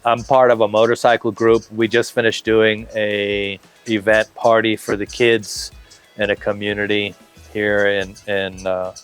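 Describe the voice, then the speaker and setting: Man in his fifties, low voice, relaxed, talkative interview guest on a patio, light music underneath. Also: measured pace, smooth. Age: 50s. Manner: relaxed, talkative, lots of fillers and restarts. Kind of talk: casual interview. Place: outdoor covered patio, tropical garden.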